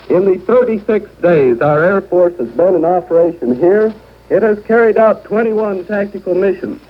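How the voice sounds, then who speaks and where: A man reading a scripted 1940s radio war report as a news correspondent. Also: sits high. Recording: noisy muffled 1940s shortwave radio recording.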